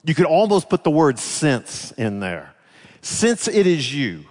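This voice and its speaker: A man's voice: a little high, sing-song, measured pace. A man preaching a sermon in a conversational manner, putting rhetorical questions to his congregation. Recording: reverberant hall sound.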